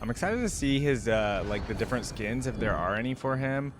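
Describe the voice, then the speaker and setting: A man's voice: medium pitch, lively intonation, a little fast, background noise. A man hosting a reaction video with informal, casual commentary and short impressed interjections. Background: music from the videos being watched.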